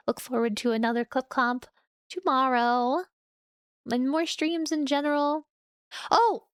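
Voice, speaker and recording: A high-pitched young woman talking to her stream in a relaxed, chatty way, laughing easily between thoughts. The sound is close and dry, with no room around it. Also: no room sound. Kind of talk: casual chat with stream viewers. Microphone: studio microphone.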